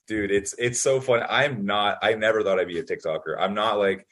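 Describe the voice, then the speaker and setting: A young man, born in Italy, telling stories in a casual interview with lots of fillers and acted-out quotes. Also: lowish voice. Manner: fast, animated storytelling full of fillers. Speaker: podcast guest.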